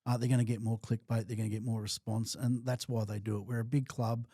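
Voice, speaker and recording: Man, warm mellow tone, dry close recording, thoughtful podcast talk. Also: mid-range voice, level tone, quick pace, husky, no echo. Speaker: podcast co-host. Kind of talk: casual sports podcast chat.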